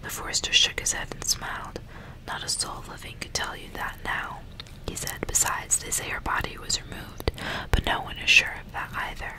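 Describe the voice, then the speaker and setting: ASMR reader, female: fully whispered, breathy, soft and deliberate storytelling. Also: poor audio. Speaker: ASMR host reading a novel aloud. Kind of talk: ASMR, whispered book reading with casual asides.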